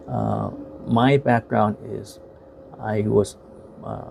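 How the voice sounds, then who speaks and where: Man with a dark, gravelly voice. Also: on the low side, little pitch movement, slight background noise. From Vietnam, raised in the United States. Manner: halting, many fillers and restarts. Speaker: vlogger reviewing films. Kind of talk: casual talk to camera. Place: bedroom at home, indoors.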